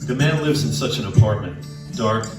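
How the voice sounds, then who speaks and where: Echoey, low-voiced man reading over guitar. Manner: measured, pausing between short phrases. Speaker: author reading his own short story. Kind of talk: literary spoken-word story reading with musical accompaniment. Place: indoor live reading at an art show. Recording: very echoey room, distant microphone. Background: live guitar accompaniment.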